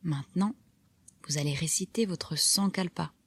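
A woman guiding a relaxation meditation, giving calm, soft, measured instructions with pauses between phrases. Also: fairly low pitch, breathy edge. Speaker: yoga nidra guide. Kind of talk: guided relaxation meditation narration.

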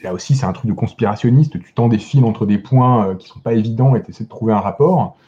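A man's voice: on the low side, fast talker, mellow, lo-fi sound. A man in a casual podcast discussion as a guest critic, hesitating and repeating words as he searches for them.

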